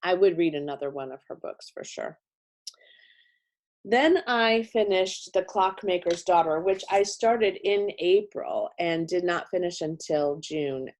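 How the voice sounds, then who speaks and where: A middle-aged woman hosting her book channel, chatting casually through her monthly reading, her voice still slightly hoarse and congested. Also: lowish voice, measured pace. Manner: chatty, self-correcting, warm talk about books.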